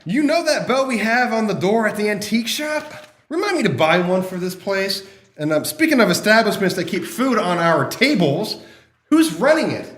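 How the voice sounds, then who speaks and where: A woman's voice: medium pitch, sing-song, normal speed, roomy sound, close to an everyday voice. An actress playing a stubborn, sarcastic thirty-year-old man who cracks wisecracks.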